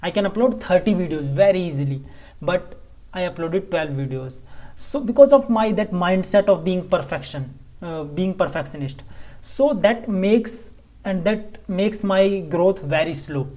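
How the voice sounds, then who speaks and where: Halting Indian-accented man vlogging. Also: high voice, expressive, mellow. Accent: Indian English. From Delhi, India. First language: Hindi. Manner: halting, often stumbles and restarts phrases. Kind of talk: casual talk to camera.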